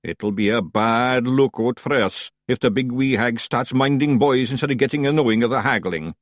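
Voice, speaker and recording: A man voicing a gruff, blunt male fairy warrior with a Scottish accent, in an audiobook. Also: medium pitch, moderate pace, no echo, clean studio sound, voice pushed up, lightly acted. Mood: grumbling.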